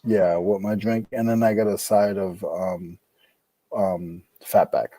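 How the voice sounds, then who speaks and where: A man's voice: low voice, fairly even intonation, a little slow, mellow, no room sound. A man from New England co-hosting a casual podcast hangout, chatty and jokey, often trailing off mid-sentence. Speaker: podcast co-host. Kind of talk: casual chat while listing items.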